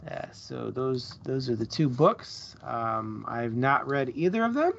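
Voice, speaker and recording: A man co-hosting a casual book chat, thinking aloud in short, halting phrases. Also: medium pitch, animated, creaky, a bit bright, lo-fi sound. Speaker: book club show co-host.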